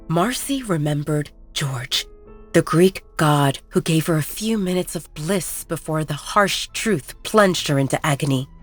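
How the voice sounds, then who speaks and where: A woman narrator reading a scripted romance audio story in the third person. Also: low voice.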